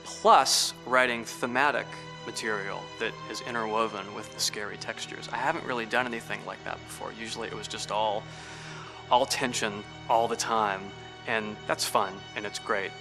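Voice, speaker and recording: A man's voice: lowish voice, steady tone, moderate pace, low-quality recording. A man speaking as a composer in an interview about his own work. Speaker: film and game composer. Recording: music playing behind the voice. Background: background music.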